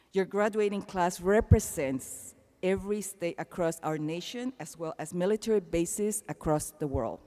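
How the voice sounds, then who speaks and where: A woman's voice: fairly low pitch, darkish tone. Panamanian-accented woman speaking in an echoing arena. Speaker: commencement speaker. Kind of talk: personal commencement speech. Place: large indoor arena, amplified speech. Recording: long echo of a large arena.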